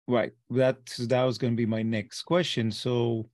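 A man's voice: mid-range voice, flat delivery, measured pace, a little mellow, no room sound, studio quality. Israeli-accented man hosting on a video call. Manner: conversational, asks loose leading questions. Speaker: host, interviewer. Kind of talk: casual interview talk. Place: home office.

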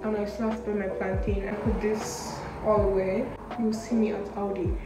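A woman's voice: mid-range voice, little pitch movement, moderate pace. A young woman chatting casually to camera in a rambling, informal vlog style, recorded indoors at a short distance from the mic. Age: young adult. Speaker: vlogger, university student. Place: indoor student flat kitchen and rooms. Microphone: camera at arm's length or propped nearby. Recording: echoey room, background music.

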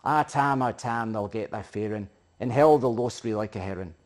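A man's voice: lowish voice, normal speed. Scottish man dramatically reciting poetry. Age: middle-aged. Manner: theatrical, emphatic storytelling with swells and hushes. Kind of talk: dramatic recitation of a Scots narrative poem. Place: living room, indoors.

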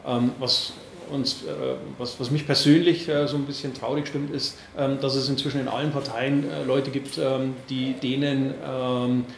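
A man in his forties with an Upper Palatinate accent, answering questions at a press conference in a halting way, with many fillers and restarts. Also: mid-range voice, flat delivery, creaky, echoey room, slight background noise, rough recording. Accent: Upper Palatinate, Franconian-tinged. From Bavaria, Upper Palatinate. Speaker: party board member at press conference.

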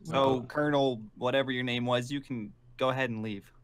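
A man's voice: mid-range voice, steady tone, brisk, a crowd in the background, natural voice. A man playing a wary, bossy, no-nonsense survivor who leads a scavenging group in improvised game roleplay. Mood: defiant.

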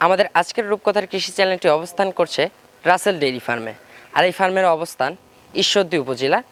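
A man with a Bangladeshi accent presenting and interviewing for a farming vlog. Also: fairly high pitch. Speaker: farming channel host and interviewer. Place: outdoors at a dairy farm.

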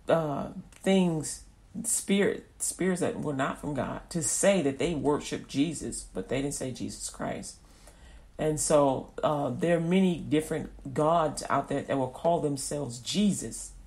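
A woman's voice: deep voice, darkish tone. A woman preaching an informal radio sermon, folksy and repetitive, acting out little scenes as she goes.

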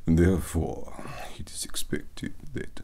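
A man playing a solemn, literal-minded vampire, speaking in stilted formal phrases in a hushed ASMR roleplay. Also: slow pace, natural voice. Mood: solemn, evasive. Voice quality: gravelly whisper.

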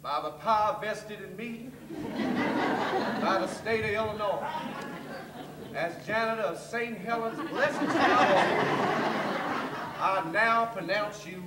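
A man's voice: high-pitched, over a noisy crowd. Man playing a folksy old Southern janitor. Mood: warm, conspiratorial. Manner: folksy, drawn-out storytelling. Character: kindly, wary old blues-loving orphanage janitor, fatherly mentor. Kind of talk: stage acting. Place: theatre stage, far camera. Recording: distant echoey theatre stage, noisy.

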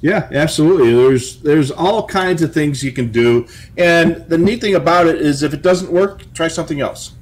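A man's voice: a little high, normal speed, few pauses, low-quality recording. A middle-aged man with an American accent, co-hosting from a home office in a casual, chatty style with practical tips. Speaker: co-host of a police scanner show. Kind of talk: casual chat with tips and anecdotes.

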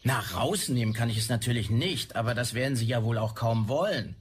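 An actor playing a dismissive, officious implant technician in a sci-fi audio drama, patronising and slightly hesitant. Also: male voice, mid-range voice, brisk, a little hiss or hum, natural voice. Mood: condescending.

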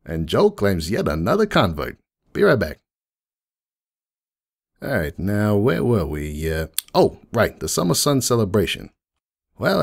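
A man's voice: lowish voice, creaky, heavily acted. A man voicing a friendly, nostalgic unicorn donut shop owner in a dramatic fan fiction reading, chatty and folksy like someone reminiscing. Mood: chatty, welcoming, then proud, nostalgic.

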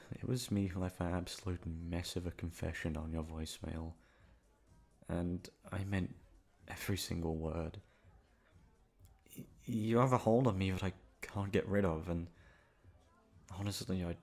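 Man acting a low, creaky, bashful young playboy. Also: a little mellow. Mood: tender, earnest. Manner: halting, stammering, bashful. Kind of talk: romantic ASMR roleplay acting.

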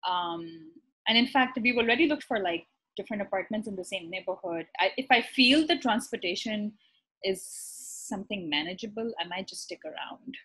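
Young woman, physician guest, from Pakistan. Slightly husky voice, casual, hesitant pacing, webcam call. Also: mid-range voice, expressive, normal speed, a bit bright, poor audio. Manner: thoughtful, many ums and restarts. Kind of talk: casual conversational interview. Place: bright apartment room, indoors. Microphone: laptop webcam on a video call.

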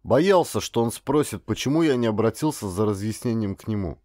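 Male audiobook narrator, bright voice, very dry sound. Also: fairly low pitch, lively intonation, fairly quick, no echo. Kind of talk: scripted first-person literary narration.

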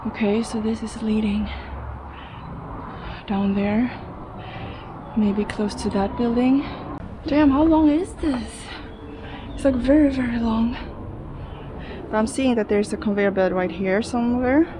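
A woman's voice: on the high side, fairly even intonation, a little slow, long pauses. A young adult woman talking casually to her handheld camera, thinking aloud in fragments as she explores. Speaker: urban explorer vlogger. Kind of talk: casual vlog commentary while exploring. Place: abandoned steel factory, indoors and out. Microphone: handheld action camera. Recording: noisy handheld action camera audio.

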